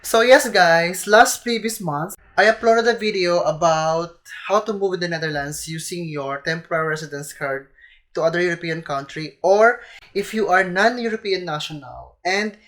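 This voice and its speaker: Young Filipina vlogger with a Filipino accent and a slight cold, chatty, talks to camera. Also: female voice, on the low side, moderate pace, fairly bright tone. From Philippines. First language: Tagalog. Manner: chatty, keeps addressing viewers as "guys". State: has a cold. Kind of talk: casual advice vlog to camera.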